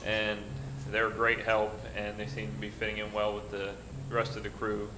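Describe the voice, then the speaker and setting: Middle-aged man, council report, low flat voice, roomy chamber. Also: noisy background. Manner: plain, halting report with many uhs. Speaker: council member. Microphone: desk mic.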